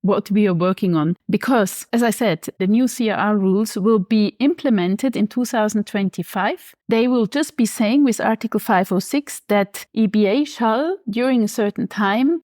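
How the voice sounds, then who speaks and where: A woman from Switzerland, a banker being interviewed, explaining finance in a measured, precise way. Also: medium pitch, darkish tone, no echo, clean studio sound.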